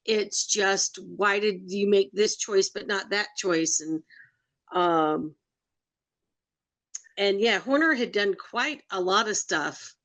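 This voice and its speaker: A woman's voice: mid-range voice, slow, a bit bright. A woman co-hosting a podcast, musing thoughtfully and hesitating with fillers as she talks. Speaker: podcast co-host. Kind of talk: casual discussion.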